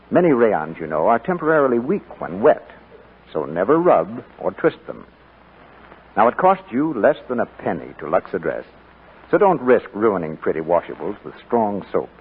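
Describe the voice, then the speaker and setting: Animated low-voiced old-time radio announcer. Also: male voice, husky. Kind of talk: scripted 1940s radio commercial. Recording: muffled 1940s radio recording.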